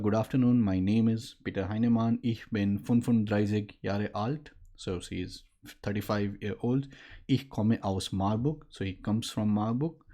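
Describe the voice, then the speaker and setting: A man in his late twenties, a native Maithili speaker, teaching a casual online lesson at a desk, explaining and pausing with fillers. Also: fairly low pitch, mellow. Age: late 20s. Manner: explains in mixed speech, halting with fillers. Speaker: language teacher. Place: indoor room at a desk.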